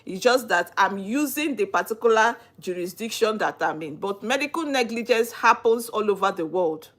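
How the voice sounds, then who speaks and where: A woman's voice: mid-range voice, expressive, moderate pace, fairly bright tone. A young adult woman from Nigeria with a Nigerian accent, hosting a live stream, asking earnest rhetorical questions and greeting viewers by name. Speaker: livestream host on legal topics. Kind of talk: live-stream talk answering viewer comments.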